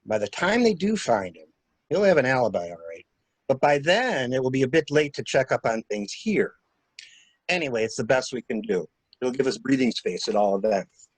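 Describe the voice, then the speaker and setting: A man in an amateur mystery drama reading, playing a cool-headed gentleman stranger who briskly and wryly takes charge of a cover-up. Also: mid-range voice, big pitch swings, breathy edge, no echo. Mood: brisk, calculating.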